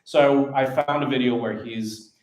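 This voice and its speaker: A man speaking on a live panel as a voice actor, animated and demonstrative, often repeating words as he explains. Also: mid-range voice, measured pace. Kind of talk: panel talk. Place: event venue, live panel. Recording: echoey room.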